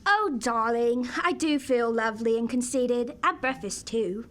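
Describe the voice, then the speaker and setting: A woman's voice: on the high side, measured pace, fairly bright tone. A woman acting an affectionate, playful and witty wife in a radio comedy. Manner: playful, doting banter.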